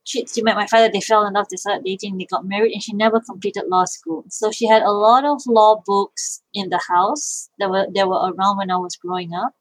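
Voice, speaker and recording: A woman's voice: mid-range voice, fairly even intonation, brisk, hardly any pauses, dry recording, poor audio. A middle-aged woman from Malaysia, answering interview questions thoughtfully, often repeating words as she restarts. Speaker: guest, social enterprise founder and IP lawyer.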